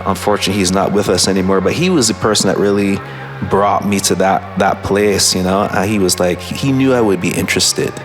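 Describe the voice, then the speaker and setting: A man from Barbados, a guest musician, talking in a relaxed, reflective way, halting with many restarts and fillers. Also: low voice, over music. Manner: halting, full of restarts and "you know, like".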